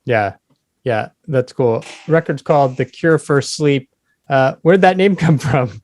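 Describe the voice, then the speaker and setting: A man interviews casually as a podcast host. His voice is mid-pitched and recorded very dry, with no room around it. He is laid-back and keeps his responses short. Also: unhurried, a little mellow, no room sound.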